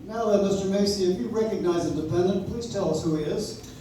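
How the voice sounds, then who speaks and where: A man's voice: sits high, fast talker, dark tone, natural voice. Male actor as sincere, pleading young lawyer for live radio drama. Heard distantly in a very reverberant room. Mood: confident. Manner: earnest, pleading. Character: earnest, idealistic young lawyer and suitor. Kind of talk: old-time radio play acting on stage. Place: live stage in a coffee house. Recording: very echoey, distant audience recording, faint noise.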